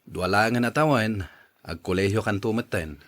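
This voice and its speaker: A man's voice: fairly low pitch, moderate pace, a bit bright, close to an everyday voice. A man playing a supportive, plain-spoken middle-aged father in a radio drama. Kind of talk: Ilocano radio drama acting.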